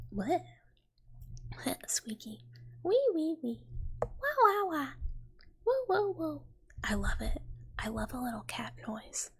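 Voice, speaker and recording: A woman livestreamer chatting with viewers in a playful, cutesy ASMR style, in a breathy whisper. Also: on the high side.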